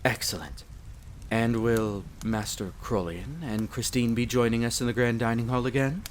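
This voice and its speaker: A man's voice: mid-range voice, fairly even intonation, normal speed, slight background noise, close to an everyday voice. A man playing a courteous, formal castle butler in a roleplay audio drama, polite and deferential in manner.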